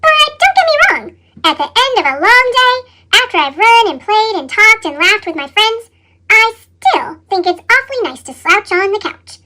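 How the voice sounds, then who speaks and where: A woman voicing an earnest, excitable couch potato from a children's storybook, with dramatic expression and a sped-up chipmunk effect. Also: moderate pace, full character voice. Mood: cheerful, earnest. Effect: sped-up chipmunk pitch shift.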